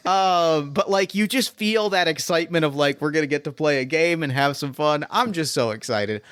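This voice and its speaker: A man with a somewhat high voice speaks as an enthusiastic podcast host. His delivery is emphatic and effusive, with casual swearing. The sound is very dry, with hardly any room on it. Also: normal speed, fairly bright tone, clean tone, no echo. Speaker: podcast host and game master. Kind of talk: enthusiastic podcast intro and chat.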